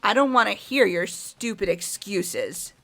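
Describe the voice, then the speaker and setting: A woman's voice: medium pitch, a little slow, creaky, fairly bright tone, soft background music. A woman voicing a blunt, hot-headed young female athlete who insists on her accusations. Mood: accusing. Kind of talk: fan voice acting of a game.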